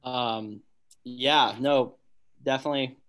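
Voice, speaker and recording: A man's voice: mid-range voice, fairly even intonation, takes it slowly, a bit bright. A man in his twenties, a college athlete, answering press questions over a video call, easygoing and confident with lots of casual fillers. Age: 20s. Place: small room indoors. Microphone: laptop webcam on a video call.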